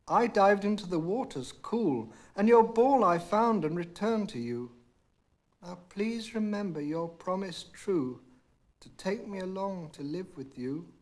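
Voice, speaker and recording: A small storybook frog, acted out by a man, pitched high with swooping intonation. He is polite yet insistent, coaxing and pleading in drawn-out phrases.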